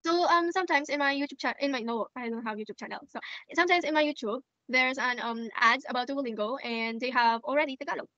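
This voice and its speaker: A woman from the Philippines tutors over a video call in a gentle, hesitant way. Her voice is high and bright. The call audio is dry and a little dull. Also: fast, hardly any pauses, no echo. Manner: gentle, hesitant, with soft fillers. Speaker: language tutor. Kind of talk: casual online tutoring chat.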